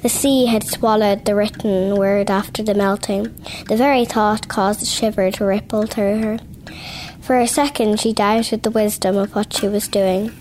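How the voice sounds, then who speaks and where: A woman reading passages from a novel aloud in a measured storytelling manner. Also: mid-range voice, steady tone, darkish tone, a little hiss or hum, rough recording. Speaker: reader.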